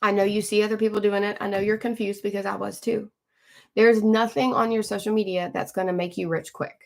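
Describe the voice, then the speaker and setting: A woman in her forties hosting a casual live stream from home, chatting with viewers, greeting them by name and often clearing her throat. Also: mid-range voice, level tone, a little fast. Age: 40s. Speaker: livestream host.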